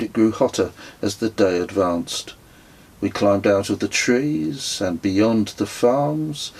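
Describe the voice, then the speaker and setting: A man reading his own travel memoir as an audiobook, in a measured, reflective and wry storytelling manner. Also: lowish voice, poor audio. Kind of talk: scripted audiobook narration.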